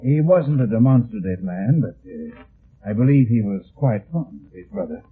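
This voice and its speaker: An actor playing a formal, loyal manservant in an old-time radio drama, polite and hesitant. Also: male voice, medium pitch, big pitch swings, normal speed, breathy edge, heavily acted. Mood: respectful. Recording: muffled, phone-like old radio recording.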